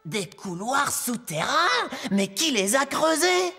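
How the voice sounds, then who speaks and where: A woman voicing a small, plucky young male rabbit in a French children's cartoon, excitable, cheeky and exclamatory. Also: high voice, takes it slowly, creaky, exaggerated voice. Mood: excited. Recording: music behind.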